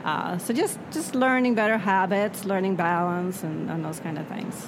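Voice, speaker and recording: Swedish-born woman, soft and reflective. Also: mid-range voice, normal speed. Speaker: author guest. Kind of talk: interview conversation. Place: noisy spot near traffic. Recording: background traffic noise.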